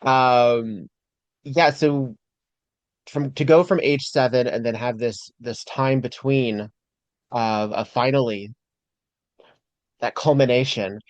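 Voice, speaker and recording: Man in his forties, podcast host, chatty, animated, dull lo-fi sound, dry. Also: medium pitch, slow pace, pauses often, dry recording. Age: 40s. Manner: animated, chatty, laughs easily. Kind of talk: casual interview chat.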